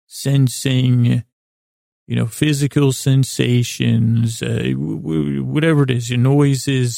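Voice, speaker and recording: A man hosting a sleep podcast, rambling through a whimsical bedtime monologue in a halting way, often repeating himself and talking to himself. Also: mid-range voice, gravelly, warm tone, no echo, clean studio sound. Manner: halting, meandering, repeats and trails off; whimsical, talks to himself in imagined dialogue. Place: inside a small closet.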